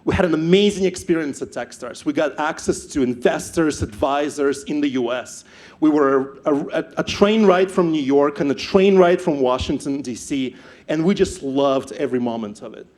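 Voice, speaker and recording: Young man, Ukrainian accent, fairly high voice, lively stage storyteller who restarts a lot. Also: normal speed. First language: not English. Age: young adult. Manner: animated, repeats words and restarts. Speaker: startup founder speaker.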